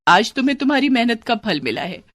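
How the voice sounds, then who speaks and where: A woman's voice: fairly high pitch, big pitch swings, fast, no room sound. A woman playing a kind schoolteacher in a moral story, speaking in a proud, encouraging manner. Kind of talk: acted dialogue in a moral story.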